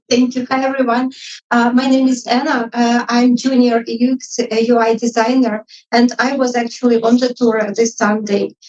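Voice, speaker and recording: Woman, non-native English speaker, highish pitch, tentative, informal audience feedback, distant muffled recording. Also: flat delivery, moderate pace, a little mellow. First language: not English. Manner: hesitant, tentative, apologetic. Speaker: audience member, junior UX designer. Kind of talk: informal spoken feedback and questions. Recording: muffled live event audio, distant mic.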